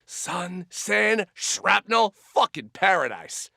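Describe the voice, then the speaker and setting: Sardonic combat engineer, war game, salty, animated delivery, dry sound. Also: male voice, sits high, slow pace, vocal fry, no echo, heavily acted. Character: sardonic, joking male combat engineer, foul-mouthed. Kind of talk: video game unit voice lines.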